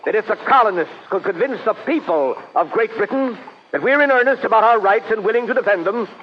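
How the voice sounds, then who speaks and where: A man's voice: high-pitched, lively intonation, brisk. An actor playing an earnest, conciliatory colonial statesman in an old-time radio drama, speaking politely and formally. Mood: earnest pleading. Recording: dull old radio recording, crowd noise behind.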